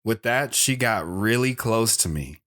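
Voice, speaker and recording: A man's voice: fairly low pitch, lively intonation, measured pace, a bit bright. A man narrating his own past as a scripted drama, telling it plainly while looking back.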